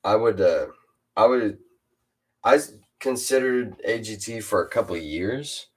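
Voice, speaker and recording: A teenage boy with a very deep voice, hosting a casual chat with a fellow rapper and hesitating often. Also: measured pace, darkish tone, breathy edge. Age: teens. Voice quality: super deep voice. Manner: hesitant, lots of uh and restarts. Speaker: host interviewing a fellow rapper.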